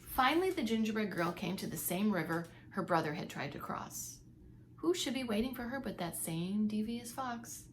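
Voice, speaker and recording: A woman narrating a children's story aloud like a preschool teacher, with animated, dramatic emphasis. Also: mid-range voice, normal speed, a little hiss or hum.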